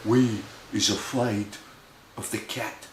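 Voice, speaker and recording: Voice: mid-range voice, steady tone, takes it slowly, roomy sound, heavy background noise, voice pushed down, natural voice. A storyteller of unclear sex voicing a streetwise big brother mouse with a British urban slang accent and a knowing manner. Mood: uneasy.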